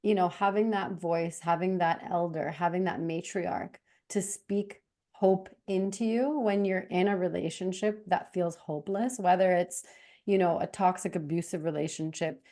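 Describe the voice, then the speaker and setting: Low, mellow-voiced woman host. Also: steady tone, moderate pace. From Canada. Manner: earnest, reflective, many restarts. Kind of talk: conversational interview.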